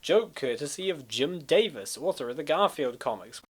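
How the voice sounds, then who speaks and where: A man's voice: a little high, animated, normal speed, slightly rough. A man giving casual reaction commentary, amused and rambling, often repeating his words. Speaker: comic dub commentator.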